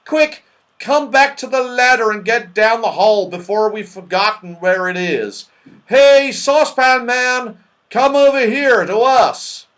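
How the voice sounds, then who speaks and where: A man's voice: a little high, sing-song, normal speed, hardly any pauses, a bit bright, pitched above the performer's own voice. A man voicing a friendly, bossy moon man who calls out emphatically in a children's storybook. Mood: dismayed, urgent. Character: big shining round-faced moon man, friendly, bossy, urgent.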